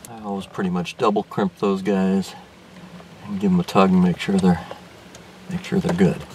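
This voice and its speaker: A man's voice: sits low, flat delivery, moderate pace, a little mellow. An older man explaining a car radio install as he works, thinking aloud with frequent restarts and fillers, recorded inside a car in daylight. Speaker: car restoration vlogger. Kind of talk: casual hands-on explanation. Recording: distant mic with faint background noise.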